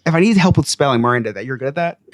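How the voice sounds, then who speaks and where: A man's voice: mid-range voice, very fast, very noisy. A man co-hosting a podcast, chatting casually and joking, often restarting his sentences. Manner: animated, joking, trails off and restarts. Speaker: podcast co-host. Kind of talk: casual chat.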